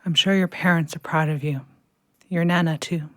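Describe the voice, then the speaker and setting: A woman playing a compassionate, bereaved mother in an audio play, gentle and reassuring. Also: sits low, fairly even intonation, normal speed, close to an everyday voice. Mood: consoling.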